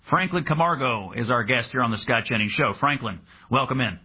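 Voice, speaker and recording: A man hosting a radio talk show, introducing a guest with upbeat patriotic framing and some halting asides. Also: mid-range voice, lively intonation, husky. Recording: dull lo-fi radio sound.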